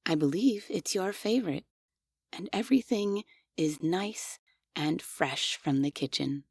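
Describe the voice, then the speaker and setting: A woman voicing a devoted, obsessive female android maid in an audio roleplay, speaking softly and formally with quietly unsettling questions. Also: fairly low pitch, slightly rough, no room sound, clean studio sound, exaggerated voice. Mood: probing. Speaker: voice actress.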